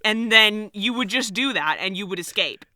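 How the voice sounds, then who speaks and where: A man's voice: sits high, expressive, normal speed, pushed and bright, dry recording. A man co-hosting a podcast with casual comic commentary. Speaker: podcast co-host.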